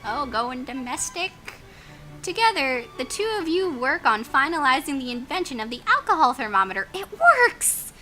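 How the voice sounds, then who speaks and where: A woman hosting a let's play, reading story text aloud in a playful, expressive way with exclamations and casual asides. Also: sits high, normal speed. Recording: music behind the voice.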